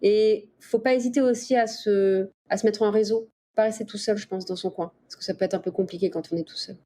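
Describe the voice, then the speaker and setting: A middle-aged woman from the Paris region answering interview questions in a casual, explanatory way with hesitant pauses. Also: mid-range voice, steady tone, dark tone. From Paris region, France. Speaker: interview guest, dolphin researcher. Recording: clear background ambient noise.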